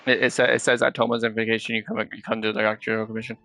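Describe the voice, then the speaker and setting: A man's voice: lowish voice, little pitch movement, racing, sharp tone. A man streaming a game and chatting casually as he plays. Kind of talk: casual gameplay chat.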